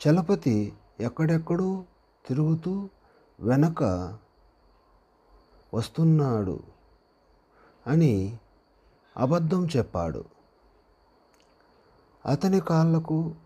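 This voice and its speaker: Male storyteller for read-aloud moral tales: husky, mellow, unhurried, phrase-by-phrase delivery with pauses. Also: fairly high pitch, muffled recording. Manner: deliberate, pausing after short phrases. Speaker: narrator of a handwriting and moral story channel.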